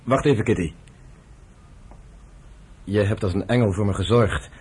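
An actor playing a proud wounded fighter, probing and earnest, in a radio drama scene. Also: male voice, on the low side, moderate pace, a little mellow, husky. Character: wounded fighter, proud, questioning his lover.

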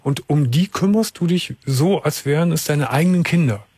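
A man hosting a late-night call-in radio show, calm and patient, asking careful follow-up questions. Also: fairly high pitch, moderate pace, noisy background. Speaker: radio talk show host. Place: radio studio. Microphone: studio mic.

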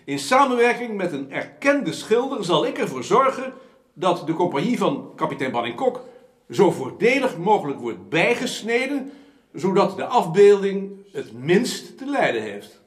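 An actor playing a formal, emphatic gentleman councillor in a period radio drama, eloquent and scornful of his peers. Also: high voice, big pitch swings, normal speed. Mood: earnest, oratorical. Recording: roomy, echoing studio sound.

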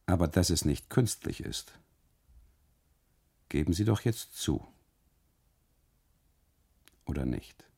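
Man acting a gravelly, insistent custodian. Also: rumbling low, animated, slow pace, pauses often, dark tone, exaggerated voice. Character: insistent male custodian, self-important and matter-of-fact.

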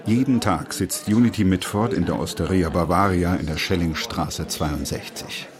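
Very deep male narrator. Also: normal speed, crowd noise. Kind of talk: scripted documentary narration.

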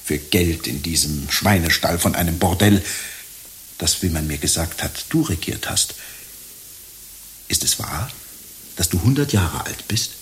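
An actor playing a naive, insecure young man in love in a radio play, earnest and halting, often repeating himself. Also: low voice, expressive, a bit bright, slight background noise. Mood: probing, scornful.

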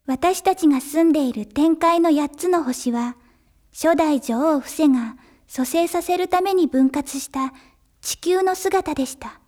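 A gentle young girl narrating an anime tale. Her voice is high, and she tells the story politely and earnestly. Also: unhurried. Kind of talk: scripted anime drama narration.

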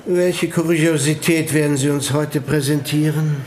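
An actor playing a bored sardonic prince. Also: male voice, fairly high pitch, fairly even intonation, unhurried, darkish tone, slight background noise, natural voice. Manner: dry, sardonic, aloof. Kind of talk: radio play acting.

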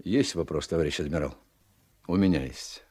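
A man's voice: rumbling low, normal speed, a little mellow, husky. An actor playing a confident, forthright naval officer addressing his superior in a radio play. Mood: bold, probing.

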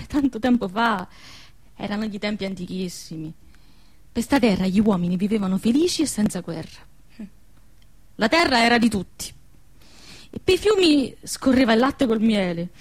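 A woman's voice: mid-range voice, lively intonation, normal speed, pauses often, no echo, close to an everyday voice. An actress playing an anguished, protective peasant woman amid war ruins in a radio play, plaintive and insistent, with a central-southern Italian dialect. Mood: wistful storytelling. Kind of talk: emotional radio theatre acting in dialect.

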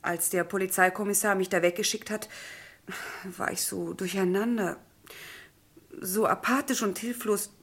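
A vulnerable young mademoiselle in an old radio mystery. Her voice is medium in pitch. She speaks earnestly and candidly, with polite feeling. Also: fairly even intonation, normal speed, husky. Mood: shaken, candid. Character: earnest, vulnerable young Frenchwoman questioned about murder. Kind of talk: German radio crime drama acting, mid twentieth century.

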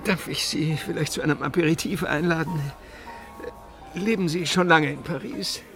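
A man playing a fussy, overeager businessman from America in a radio drama. His voice is slightly high with a gravelly creak, and he hesitates and stammers as he pries, over clearly audible music. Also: normal speed, close to an everyday voice. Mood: nervously friendly. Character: nervous, chatty American businessman visiting Paris, prying.

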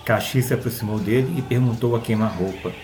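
A man's voice: on the low side, brisk. A man with a Brazilian accent reading a scripted story as its narrator.

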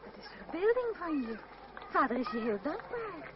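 An actress playing a girlish, spirited young woman in love in a radio play, with an expressive theatrical delivery. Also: high voice, moderate pace. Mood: affectionate. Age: young adult. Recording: dull, very noisy old radio recording.

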